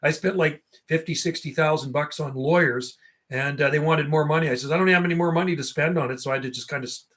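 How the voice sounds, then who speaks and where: A middle-aged man with a slightly higher-than-usual voice hosts a casual livestream. He rambles in loose, slangy phrases, reminiscing as if chatting with friends. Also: fast, fairly bright tone. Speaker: livestream host.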